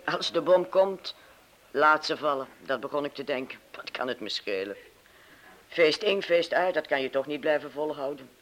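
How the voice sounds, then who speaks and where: A man's voice: on the high side, moderate pace, a bit bright, natural voice, low-quality recording. A man acting in a radio play as a disillusioned, talkative young partygoer with a probing, cajoling manner. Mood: world-weary, scornful.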